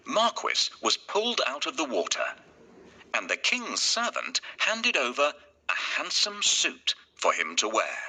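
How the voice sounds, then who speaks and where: A man narrating a children's fairy tale from a script in a deliberate, expressive way. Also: mid-range voice. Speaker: storyteller.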